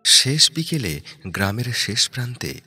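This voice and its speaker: A man narrating a horror story in a dramatic, suspenseful way, in short halting phrases. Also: medium pitch, very animated, no echo. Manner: suspenseful, halting short phrases, dropping to hushed breathy tones. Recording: background music under the voice. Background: prominent music.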